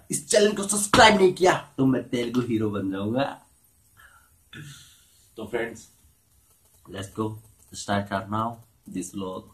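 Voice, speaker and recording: A playful young man from India, vlogging casually to a camera held at arm's length, at home and outdoors in a village. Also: a little high. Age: young adult. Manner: playful, jumps between Hindi and broken English. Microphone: handheld camera at arm's length.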